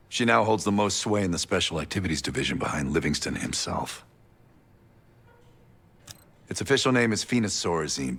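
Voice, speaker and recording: Sober male intelligence mentor, creaky, husky, measured, dry, rueful, video game drama. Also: fairly bright tone, exaggerated voice. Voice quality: low, gravelly, husky.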